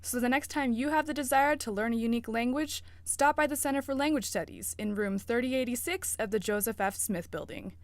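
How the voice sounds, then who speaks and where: A woman's voice: a little high, fairly quick, few pauses. A young adult woman reporting a student news story in a scripted, upbeat newsreader delivery.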